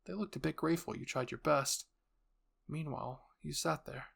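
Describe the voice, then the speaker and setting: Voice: medium pitch, moderate pace, gravelly, breathy edge. Audio roleplay narrator, middle-register voice, scripted storytelling. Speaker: narrator and voice performer. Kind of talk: scripted fan-fiction narration.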